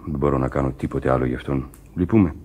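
A grave ship captain, deep. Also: male voice, darkish tone, husky. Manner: measured, formal, regretful. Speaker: radio drama actor. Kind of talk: radio play acting.

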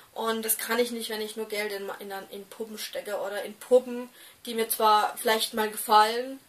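A young woman in her twenties talks straight to her webcam in a small room. Her voice sits a little high, and she sounds set back slightly from the mic. She hesitates often, with plenty of fillers and restarts. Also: a bit bright, far from the mic. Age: 20s. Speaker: doll collector vlogger. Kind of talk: casual talk to camera.

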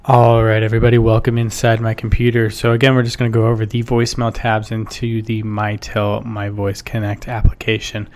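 A man, explaining software step by step in an informal, instructional way. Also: fairly low pitch, completely flat delivery, a little fast, few pauses. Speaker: software tutorial presenter. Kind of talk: casual instructional screen-share narration.

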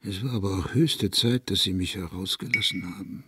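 A man's voice: deep voice, fairly quick, background noise, lightly acted. An actor playing a paranoid, vengeful madman released from an asylum, volatile between whispering and cursing in a radio play. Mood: relieved, brooding. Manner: volatile, swinging from breathy whispers to loud cursing.

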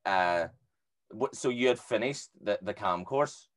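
A young man with a deep bass voice, hosting a casual interview over a headset from a small room at home, relaxed and a bit hesitant. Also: measured pace. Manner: relaxed, hesitant, with restarts and stammers. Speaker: podcast host. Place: small bedroom studio at home, indoors. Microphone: headset with microphone on a video call.

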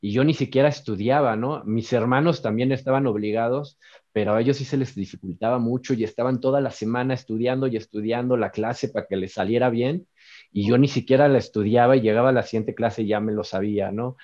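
A man's voice: mid-range voice, fairly quick, warm tone. A man in his forties from Mexico City with a Mexico City accent, telling his story as an interview guest in an easygoing way from a home studio. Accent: Mexico City Spanish. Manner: easygoing, many fillers and tag questions. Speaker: musician guest. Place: home music studio, indoors. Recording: dull lo-fi video-call audio.

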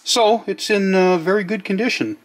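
A man with a somewhat high-pitched voice walks through a piece of equipment. His delivery is plainspoken and matter-of-fact, like an informal hands-on demonstration. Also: normal speed. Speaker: demonstrator of vintage electronics. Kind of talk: casual product demonstration narration.

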